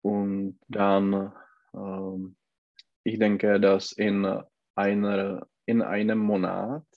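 A man's voice: on the low side, level tone, very slow, dry recording, phone-quality sound. A man with a Czech accent and a cold, learning German and searching for words with many hesitations. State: has a cold. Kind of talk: halting online language lesson.

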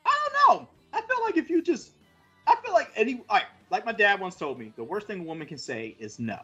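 A man's voice: high-pitched, sing-song, brisk, sharp tone, husky, faint music behind. A man around forty hosting a casual podcast, rambling through stats with crude jokes and many fillers. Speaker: podcast host.

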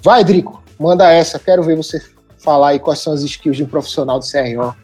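A man's voice: a little high, brisk, a little mellow, breathy edge, soft background music. A man with a Northeastern Brazilian accent hosting a podcast, upbeat, explaining step by step and prompting his guests. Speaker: podcast host. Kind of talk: casual podcast chat.

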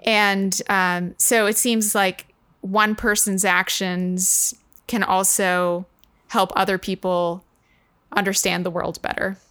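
A woman in an unscripted literary discussion, speaking in a halting, reflective manner. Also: medium pitch, flat delivery, a bit bright. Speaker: book discussion podcast participant.

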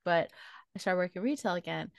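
A woman's voice: on the low side, lively intonation, fairly quick, gravelly, dry recording, clean studio sound. A woman in her late twenties co-hosting a podcast in casual chat, telling stories animatedly and laughing often between phrases. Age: late 20s. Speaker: podcast co-host.

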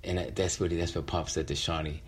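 A man's voice: sits low, steady tone, brisk, a little hiss or hum. A middle-aged man telling a personal story in a casual, conversational way as a storyteller.

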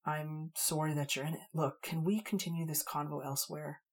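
A woman doing a calm, wry young knight. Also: deep voice, moderate pace, breathy edge. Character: cocky young human knight, man.

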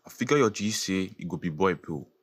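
A man's voice: low-pitched, expressive, brisk, slightly rough, dry recording, clean studio sound. A man acting a crude, threatening gang henchman in an audio play, with a Ghanaian accent. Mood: leering. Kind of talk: street-talk dialogue in an audio play.

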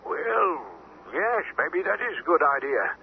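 An actor playing a reassuring, attentive doctor on the telephone in an old-time radio drama, thin and hissy. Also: male voice, high voice, very animated, measured pace, gravelly, phone-quality sound, exaggerated voice.

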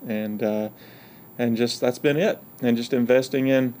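A man's voice: mid-range voice, steady tone, a little slow, mellow, a little hiss or hum. A middle-aged man with a Georgia Southern accent, a university provost chatting as a podcast guest in a folksy, joking, frank way. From Georgia, USA. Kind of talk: casual interview chat.

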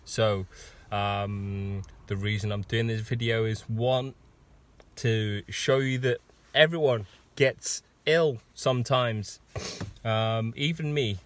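Man, hoarse and husky from a cold, slow rambling coach. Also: fairly low pitch, fairly even intonation. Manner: rambling, with many ums and restarts. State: hoarse with a sore throat and cough. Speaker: fitness coach. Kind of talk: casual live video talk.

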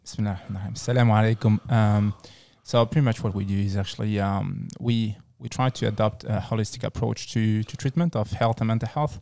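French guy being interviewed, bit of fry in a smooth voice, stops and repeats himself. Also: fairly low pitch, steady tone, warm tone, dry recording, clean studio sound. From France. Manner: halting, repeats words and phrases. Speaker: psychologist, interview guest. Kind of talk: casual interview answers.

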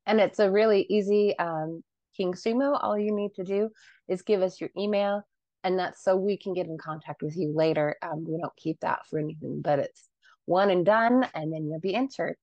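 A woman co-hosting a book podcast, wrapping up the show with a steady scripted-sounding sign-off. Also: fairly low pitch, moderate pace, no room sound. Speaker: podcast co-host. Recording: dry, dull lo-fi mic.

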